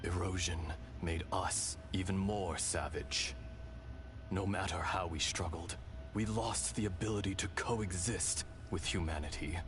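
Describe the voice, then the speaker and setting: An ancient, kindly dragon spirit for a video game. The voice sits very low and moves slowly, with solemn, sorrowful weight on each measured phrase. Music plays prominently behind it. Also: male voice. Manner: slow, grave, deliberate, measured delivery. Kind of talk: dramatic game character voice acting.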